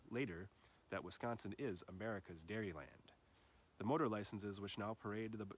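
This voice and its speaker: A man reading a literary nature essay aloud in a measured way, his phrases often trailing off softer and lower.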